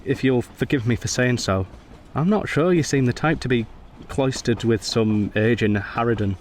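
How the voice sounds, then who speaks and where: A man playing a brooding, principled young Edwardian gentleman soldier in a radio drama, speaking and narrating in a formal, intense, literary way. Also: medium pitch, normal speed, a little hiss or hum, lightly acted. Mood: gentle, courteous.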